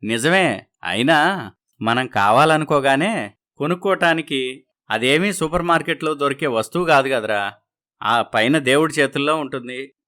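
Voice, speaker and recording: A man's voice: medium pitch, animated, normal speed, long pauses, bright, forceful tone. A man voicing a wistful, patient, resigned young husband in an audio story.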